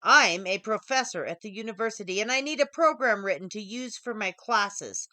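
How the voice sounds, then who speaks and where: A suave, mysterious gentleman professor, played by a woman narrator for an audiobook. He speaks in measured, drawn-out phrases with sly courtesy. The sound is dry and close. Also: mid-range voice, lively intonation, no room sound. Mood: suave, composed. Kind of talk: audiobook character dialogue.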